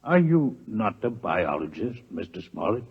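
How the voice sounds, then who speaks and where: An actor playing a courteous, scholarly alien doctor in a 1950s radio drama, speaking formally, precisely and gravely in a husky voice. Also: male voice, fairly low pitch, big pitch swings, measured pace, heavily acted. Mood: measured, courteous. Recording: muffled vintage 1950s radio recording.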